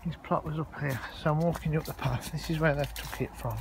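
A man's voice: on the high side, fairly even intonation, a little fast. Chatty man, noisy outdoor recording. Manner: chatty, informal, rambling. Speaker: allotment vlogger. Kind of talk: casual walk-around vlog narration. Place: outdoors on an allotment, walking. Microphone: handheld phone held while filming.

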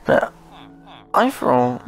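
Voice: slow, soft background music. A gaming host giving casual, rambling commentary while playing, often murmuring short repeated phrases.

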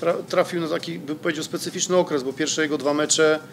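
A man's voice: fairly high pitch, fairly even intonation, a little fast, gravelly, slight background noise. A middle-aged man, a football coach, answering reporters' questions at a press conference in a hesitant way, with many fillers and restarts, into a desk microphone. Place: press room in front of a sponsor wall.

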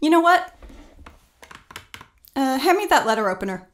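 A spirited female novelist in an audio play. Her voice sits a little high and swings widely in pitch. She sounds playful, yet earnest about the things she loves. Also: big pitch swings, moderate pace. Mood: earnest, determined. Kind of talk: audio drama dialogue.

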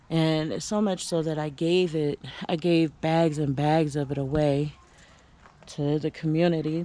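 Slow, mellow-voiced woman on a garden walk. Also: low voice. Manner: chatty and relaxed, many fillers and restarts. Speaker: home gardener giving a garden tour. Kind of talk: casual walking garden tour. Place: backyard garden outdoors.

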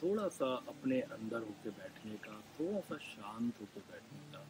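A man with an Indian accent leads a live guided meditation in a gentle, soothing manner, leaving long pauses and repeating phrases. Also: lowish voice, big pitch swings, background noise. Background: background music.

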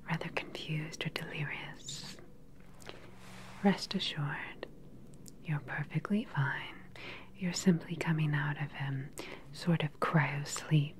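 A woman playing a calm, sinister cyborg scientist in an ASMR roleplay, speaking close to the mic in a slow, deliberate, soothing and clinical way. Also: deep voice. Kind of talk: ASMR soft-spoken whispered roleplay. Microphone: close ASMR microphone.

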